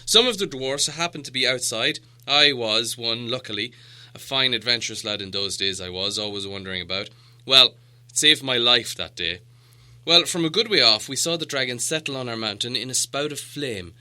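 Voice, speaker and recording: A man's voice: mid-range voice, lively intonation, moderate pace, sharp tone. A man voicing a proud, solemn old dwarf leader in an audiobook, with a grave, grandiose storytelling manner. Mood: urgent, dramatic. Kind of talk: audiobook character dialogue.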